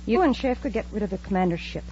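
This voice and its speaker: A woman's voice: medium pitch, animated, fast talker, mellow, natural voice. An actress playing a cool, commanding female villain with a polite front, in a 1950s radio serial style. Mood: coldly commanding. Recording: muffled, noisy old-time radio recording.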